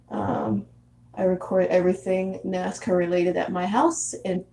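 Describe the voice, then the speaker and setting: A young woman in her twenties, originally from San Diego, talks through a relaxed vlog, reading viewer comments and chatting. Her voice sits fairly low with a warm, mellow tone and a strong gravelly fry. She laughs often and leans on plenty of "um"s and "like"s. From San Diego, California. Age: 20s. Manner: chatty, frequent laughs and fillers. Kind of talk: casual live chat reading comments. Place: house in Arizona.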